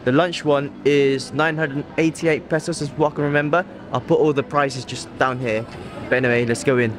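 A young man in his twenties, chatting in a relaxed, easygoing way to camera as a food vlogger in a mall buffet. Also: mid-range voice, brisk. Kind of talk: casual vlog chat to camera. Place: large indoor mall buffet restaurant.

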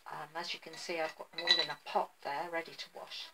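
A woman's voice: low voice, level tone, a little fast, very noisy. A middle-aged woman giving a casual craft tutorial, thinking aloud with many ums while she paints at a table, recorded on a phone. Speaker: artist demonstrating garden ornament painting. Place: indoor work table.

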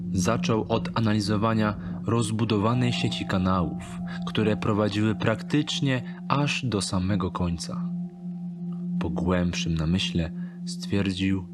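A man narrating a dark fantasy thriller audiobook in a measured, deliberate storytelling manner. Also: fairly low pitch. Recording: strong ambient sound behind the voice.

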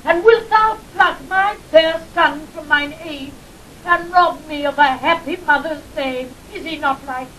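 A woman's voice: unhurried, sharp tone. An actress playing a fiercely protective old duchess and mother in a Shakespearean audio drama, with a British accent and theatrical, emotional delivery. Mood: alarmed, defiant. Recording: rumbly, noisy vintage 1960s drama recording.

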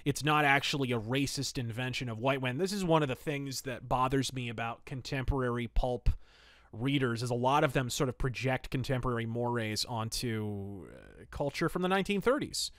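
A man's voice: medium pitch, animated, moderate pace, fairly bright tone, dry recording, clean studio sound. A man hosting a podcast, casually reviewing and recapping stories in a chatty, digressive way with emphatic asides. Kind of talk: casual review and plot recap of pulp fiction.

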